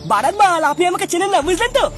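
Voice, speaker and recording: A man's voice: very high voice, lively intonation, racing, fairly bright tone. A man voicing a hot-headed, foul-mouthed father in a comedy cartoon, belligerent and full of bluster. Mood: outraged. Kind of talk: exaggerated cartoon comedy dialogue. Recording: music behind the voice.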